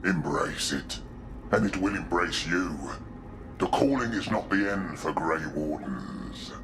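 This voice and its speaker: An actor playing a corrupted, menacing warrior cultist in a fantasy video game, speaking with ominous solemnity. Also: male voice, low voice, a little slow, background noise. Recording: game music behind.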